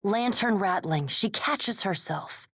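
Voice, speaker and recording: Slow, breathy woman narrator. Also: medium pitch, animated, dry recording. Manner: slow, hushed, measured. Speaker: narrator of the game's scripted scenes. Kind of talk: scripted storybook narration. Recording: dry studio.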